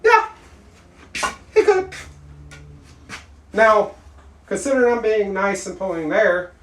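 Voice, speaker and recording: A man's voice: high-pitched, very animated, takes it slowly, fairly bright tone. A man giving a blunt, plainspoken self-defense lesson in a casual way, asking his training partner questions as he demonstrates. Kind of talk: casual instructional demo. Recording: echoey room, mic far off.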